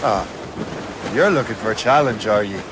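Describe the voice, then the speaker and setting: An actor playing a brooding young Irish sea captain with a dry, terse manner, in a dramatic game cutscene. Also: male voice, lowish voice, normal speed, noisy background, natural voice. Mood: dry, wry. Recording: waves and ship ambience behind voice.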